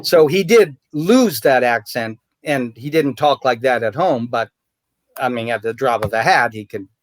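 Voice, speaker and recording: A man who is the guest actor, telling stories in a relaxed way on a video call, sometimes laughing as he talks. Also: mid-range voice, measured pace, no room sound. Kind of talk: casual video call chat.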